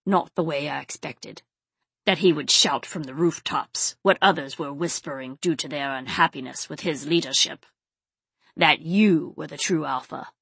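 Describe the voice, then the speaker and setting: Woman as a low, grim, growling male enforcer. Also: vocal fry, fairly bright tone, breathy edge, no echo, heavily acted. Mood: grave, regretful. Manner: grave, measured. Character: grim, protective male enforcer, restrained anger. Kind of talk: audiobook character acting.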